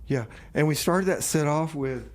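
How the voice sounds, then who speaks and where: A man's voice: on the high side, animated, moderate pace. A man hosting community radio with casual comic banter, easygoing and prone to interjecting and repeating short phrases. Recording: faint background noise.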